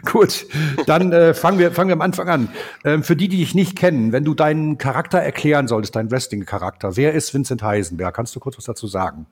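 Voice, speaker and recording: A male podcast host with a husky, slightly hoarse voice and a blocked nose from a cold. He talks casually, with plenty of filler sounds, and steers a relaxed interview. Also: fairly high pitch, fast. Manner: chatty, lots of filler sounds.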